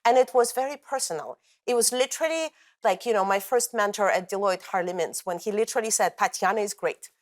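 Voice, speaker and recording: A woman's voice: mid-range voice, brisk. A middle-aged woman, born in the former Soviet Union, speaking as an interview guest and telling her story with passion. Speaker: interview guest, corporate coaching leader. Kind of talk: conversational interview.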